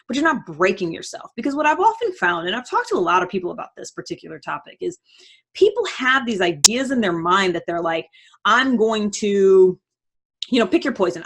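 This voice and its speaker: A woman's voice: medium pitch, breathy edge. A woman giving casual motivational advice as a host, conversational and emphatic, often voicing imagined self-talk.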